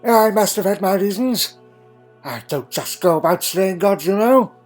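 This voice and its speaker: A man's voice: high-pitched, lively intonation, measured pace, faint music behind. A man playing a crotchety, dry-witted elderly time traveller in a fan audio drama, fussy and full of questioning hums. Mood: wry, uneasy. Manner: fussy, punctuated with questioning hmms.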